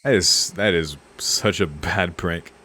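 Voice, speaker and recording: A man with a low-pitched voice works through a story game as a let's player. He reads narration aloud and muses on it casually, in a laid-back way. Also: slow, pushed and bright. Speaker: let's-play streamer. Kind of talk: reading game text aloud with casual commentary.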